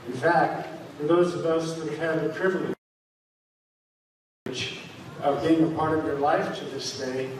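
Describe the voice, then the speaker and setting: A man's voice: a little high, level tone, measured pace, pauses often, background noise. An older man at a podium gives an earnest speech of advice to graduates, heard from far back in the room. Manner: earnest, advising. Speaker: commencement speaker. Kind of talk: formal speech. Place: large school gymnasium, at a podium. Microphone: podium microphone, heard from the back of the room. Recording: echoey gym, heard from far off.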